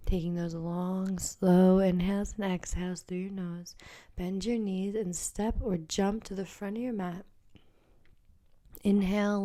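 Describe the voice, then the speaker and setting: Female yoga instructor, soft and breathy, dark mellow tone, lowish pitch, gentle step-by-step guidance. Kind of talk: guided yoga class instruction.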